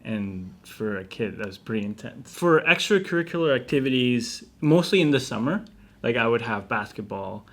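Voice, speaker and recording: A man's voice: mid-range voice, lively intonation, normal speed. A man chatting casually as a vlog host. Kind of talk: casual chat.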